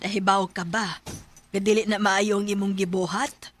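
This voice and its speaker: A woman's voice: mid-range voice, little pitch movement, moderate pace, a little hiss or hum, lightly acted. A woman playing a stern, scolding mother in a radio drama, with a Bisaya accent. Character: stern, scolding mother worried for family honour.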